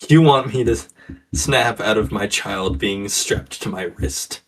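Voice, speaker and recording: A man's voice: lowish voice, measured pace, low-quality recording. A man speaking dryly and casually in character as a tabletop game player.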